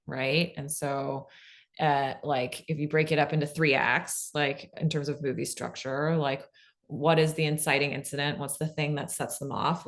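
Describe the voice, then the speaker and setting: Low-pitched woman teaching informally. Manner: conversational, peppered with "like" and "right?" check-ins. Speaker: co-presenter of a fiction podcast pitching webinar. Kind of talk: informal instructional talk.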